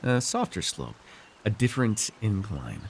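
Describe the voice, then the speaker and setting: A man voicing a scheming, weary villain lord in an immersive fantasy ASMR roleplay, wry and persuasive, speaking theatrically with halting, musing pauses and sighs. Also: on the low side, very animated, vocal fry, slight background noise, exaggerated voice. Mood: patient, condescending. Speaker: voice actor.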